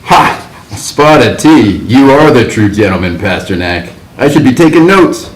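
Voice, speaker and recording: A man playing an exuberant, slangy 1950s hipster writer who talks in jazzy, animated bursts. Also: mid-range voice, a little slow, slight background noise. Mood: jazzy, excited. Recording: roomy echo.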